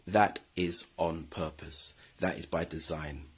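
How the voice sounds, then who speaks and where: Husky, very deep-voiced man. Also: fairly even intonation, slow. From London, England. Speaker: video host. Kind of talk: scripted history commentary.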